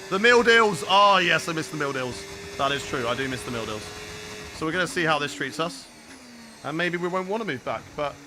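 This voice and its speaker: A young British man streaming a racing game, chatting casually and reacting aloud while answering viewers. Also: a little high. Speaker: gaming streamer. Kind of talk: live gameplay commentary and chat replies. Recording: racing game engine noise behind. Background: clear racing game car sounds.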